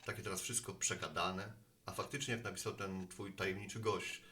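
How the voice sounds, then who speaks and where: A genial postman with a homespun philosophical streak, played by an actor in an audio drama. His voice is lowish yet forward and bright, with a colloquial, thoughtful delivery. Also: level tone, brisk, a little hiss or hum. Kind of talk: Polish audio drama dialogue.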